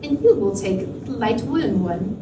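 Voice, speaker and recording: A woman's voice: mid-range voice, expressive, moderate pace, lightly acted. A woman voicing a greedy, gullible male tanuki from a storybook, with an eager, scheming manner. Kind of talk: storybook character voice. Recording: echoey, noisy room, distant mic.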